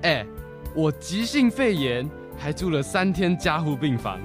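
A man's voice: a little high, big pitch swings, a little slow, music in the background. A teenage boy playing a cheeky, contradicting younger brother in a Taiwanese Mandarin children's radio drama. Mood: correcting, reflective.

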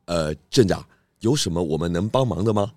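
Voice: sits low, lively intonation, fairly quick, darkish tone, no echo. An actor voicing a genial, curious male pig detective in a children's audio detective drama, with soothing, chuckling little interjections. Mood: easygoing, polite.

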